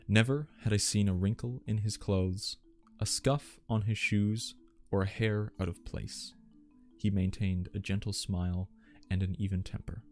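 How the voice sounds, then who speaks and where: A man playing a genteel, devoted butler, telling of household staff in a measured, formal, gentle ASMR audiobook manner. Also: lowish voice. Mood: admiring, composed.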